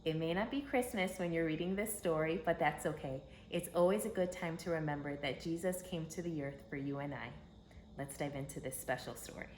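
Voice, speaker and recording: A woman with a fairly low voice reads a story to young children. Her delivery is expressive and emphatic, with simple phrasing. The recording is echoey, with a faint to clear layer of noise behind her. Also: a little fast. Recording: roomy echo with background hiss.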